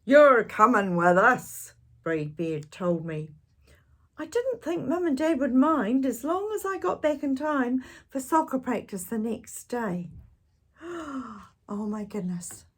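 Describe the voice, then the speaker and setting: A woman's voice: sits high, slow pace, slightly rough. A woman hosting a children's storytime, reading a picture book expressively with wondering asides to young listeners. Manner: animated, gasping and hushed wondering asides.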